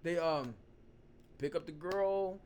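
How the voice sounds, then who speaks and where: A man hosting his own vlog, hoarse from losing his voice, rambling loosely in casual talk full of "you know" and "like". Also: a little high, slow, darkish tone, a little hiss or hum. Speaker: vlog host. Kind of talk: casual opinion talk to camera.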